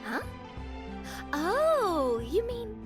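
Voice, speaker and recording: An actress playing a cheerful, theatrical young woman in a video game, expressive and playful with a teasing delivery. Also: very high-pitched, extremely slow, exaggerated voice. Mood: playful, coaxing. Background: music.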